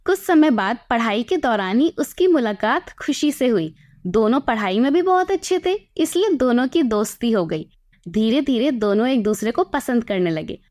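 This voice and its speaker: A woman with a fairly high-pitched voice narrates a scripted moral story. She speaks as the storyteller, telling the tale in the third person. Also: lively intonation, normal speed, a little mellow.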